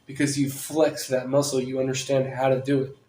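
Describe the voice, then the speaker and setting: A man's voice: medium pitch, flat delivery, fairly quick. Guy rambling and joking on a podcast, middle voice, echoey room, little bit of background hiss. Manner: rambling, joking, laughs loudly. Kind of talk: casual chat. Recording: roomy room with faint ambience.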